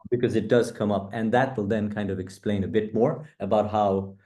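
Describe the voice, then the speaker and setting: A middle-aged man with a low, warm and mellow voice. He speaks as an interview guest, measured and earnest, pausing on fillers as he gathers his thoughts. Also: flat delivery. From UK, born in East Africa. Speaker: guest, coach. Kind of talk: reflective interview answers.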